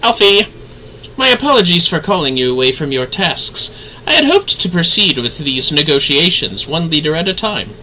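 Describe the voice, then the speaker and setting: A man's voice: a little high, sing-song, normal speed, sharp tone, a little hiss or hum, exaggerated voice, low-quality recording. A man voicing a courteous, earnest young nobleman diplomat from a fantasy video game, speaking formally. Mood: polite, earnest. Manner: formal, polite.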